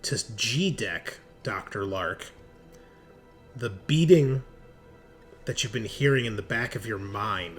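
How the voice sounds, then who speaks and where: A man's voice: on the low side, a bit bright. A man running a horror tabletop roleplaying game as game master, narrating descriptively and suspensefully.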